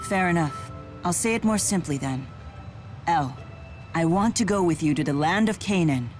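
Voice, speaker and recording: An actress voices a dignified spirit swordswoman in a video game. Her voice is notably low, formal and measured, steadfast in tone. Soft music sits behind her. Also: lively intonation, close to an everyday voice. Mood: resolute. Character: solemn, noble spirit woman with a sword.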